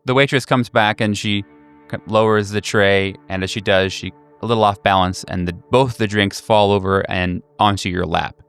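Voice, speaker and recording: Low-voiced male game master, music behind. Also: fairly even intonation, fairly bright tone, smooth. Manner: descriptive, halting. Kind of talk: improvised tabletop game narration.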